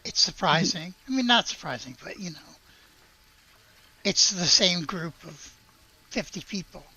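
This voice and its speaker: A woman's voice: fairly low pitch, expressive, a little slow, sharp tone, husky, low-quality recording. A woman running a tabletop roleplaying game as game master, casually switching between narration and dialogue.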